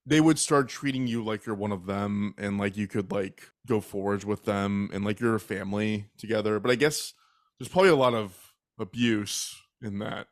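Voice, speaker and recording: Male podcast co-host, low voice, playful reactions, dry close recording. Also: normal speed, no echo. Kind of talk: casual chat.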